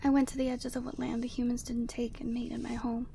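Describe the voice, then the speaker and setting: A woman voicing a proud, lonely yeti woman in an ASMR roleplay, commanding yet tender and teasing, often slipping into breathy whispers. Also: on the high side, monotone, quick pace, mellow, a little hiss or hum. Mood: sad, wistful. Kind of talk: ASMR roleplay, close and slow character acting.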